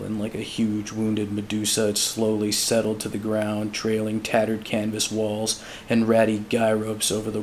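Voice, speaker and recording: A man giving a dramatic reading of literary fiction in a measured, solemn storytelling manner. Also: on the low side, a little mellow, slight background noise, poor audio. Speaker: amateur audiobook reader.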